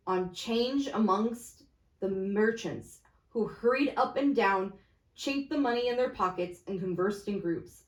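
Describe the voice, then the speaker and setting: A woman's voice: mid-range voice, darkish tone. A woman reading classic fiction aloud as an amateur storyteller, stumbling now and then over her words. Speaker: storybook reader. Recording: echoey, roomy sound.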